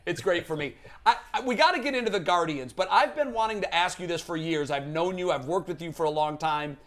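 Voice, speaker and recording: Man, fairly high voice, sports show host, casual interview chat. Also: brisk, fairly bright tone.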